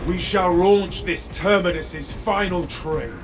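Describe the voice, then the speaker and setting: A calm, measured soldier. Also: male voice, high voice, background noise. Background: loud game music and gunfire.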